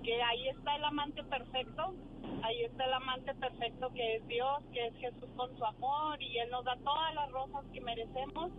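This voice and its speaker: Middle-aged woman caller, high-pitched, earnest and devout, heard over a dull phone line with car noise. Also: steady tone, moderate pace. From Juárez Valley, Mexico, born in Texas. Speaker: radio show caller. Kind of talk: casual testimony on a call-in. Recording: noisy muffled phone call, car ambience.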